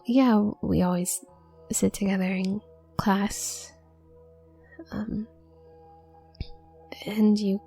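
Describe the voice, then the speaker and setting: Woman acting a shy, stammering, slow-spoken young witch. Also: mid-range voice, dark tone, breathy edge, full character voice. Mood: confused, hesitant. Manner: heavy nervous stammer, halting and hesitant. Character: shy, awkward, flustered young witch classmate, female college student. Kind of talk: roleplay audio drama addressed to the listener.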